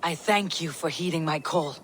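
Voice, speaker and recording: An actress playing a commanding, steady female Viking clan leader with a measured, authoritative delivery in a video game. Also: deep voice, slight background noise, lightly acted. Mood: warm. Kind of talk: dramatic video game acting.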